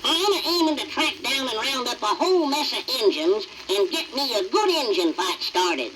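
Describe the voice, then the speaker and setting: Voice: mid-range voice, sing-song, moderate pace, crowd noise, lightly acted. An actor, heard as a woman, voicing a cocky, boastful cartoon woodpecker with folksy bragging on an old 1950s children's record. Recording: noisy old 78rpm record.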